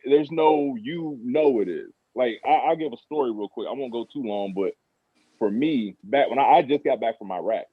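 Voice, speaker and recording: American man on a phone-like line, husky. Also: mid-range voice, no room sound. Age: 30s. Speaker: guest. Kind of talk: casual podcast storytelling. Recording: phone-like thin radio sound.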